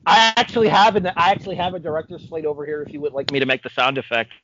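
Guy podcast cohost, voice a bit high, teasing and butting in, casual, slightly muffled mic. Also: fairly even intonation, rapid-fire, a bit bright, slight background noise. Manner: interjecting, teasing. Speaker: podcast co-host. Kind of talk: casual banter.

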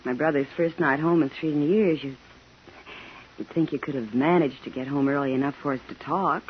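An actress playing an anguished, defensive young widowed mother in a 1950s radio drama, with a husky voice. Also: deep voice, normal speed, slight background noise, natural voice. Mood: hurt, reproachful. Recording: dull 1950s radio recording.

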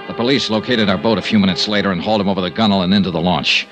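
An actor playing a tough, world-weary insurance investigator in a 1950s radio detective drama. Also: male voice, deep voice, rapid-fire, loud music behind the voice, close to an everyday voice. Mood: terse, wry. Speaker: series lead and narrator.

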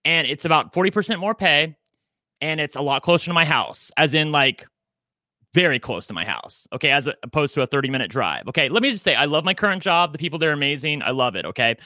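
A man's voice: on the high side, expressive, quick pace, bright, forceful tone, no echo, clean studio sound. A man in his forties co-hosting a podcast, talking in a chatty, campy, self-deprecating way. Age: 40s. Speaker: podcast co-host. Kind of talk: casual chat.

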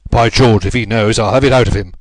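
A man's voice: medium pitch, animated, normal speed, no room sound, natural voice. A man voicing a self-important, bullying police inspector in an audiobook, taunting and pleased with himself. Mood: blustering. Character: smug, bullying male police inspector, gloating. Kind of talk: audiobook character voice.

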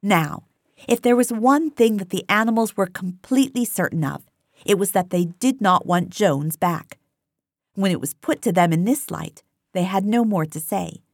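Female storybook narrator, lively varied intonation, dry studio-like sound. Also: mid-range voice, moderate pace, no echo. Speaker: audiobook narrator. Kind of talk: scripted literary narration.

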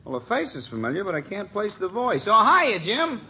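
A very noisy old radio recording of a neighbor. He is a sardonic joker with a high voice and lively wisecracking delivery. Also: brisk, lo-fi sound. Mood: wry. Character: jokey, sardonic neighbor, a grown man. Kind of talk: 1950s radio sitcom acting.

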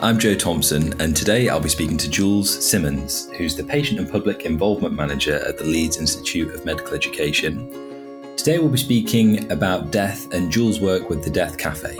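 A man's voice: low-pitched, brisk. A man hosting a podcast interview, asking questions in a friendly, slightly hesitant way. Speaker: podcast host. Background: soft guitar music.

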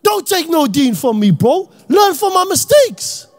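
A man from Iraq, giving an impassioned, slang-heavy talk to young people as an Islamic speaker and often shouting. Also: very high voice, big pitch swings, a little slow. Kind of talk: impassioned motivational talk to youth.

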